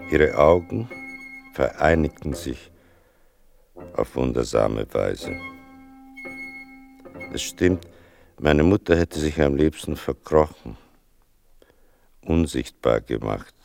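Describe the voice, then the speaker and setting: An actor playing a grave son who narrates his mother's story in a radio drama, slow and solemn with a gravelly voice. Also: expressive, darkish tone, heavily acted. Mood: solemn, reflective. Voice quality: deep, gravelly. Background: music.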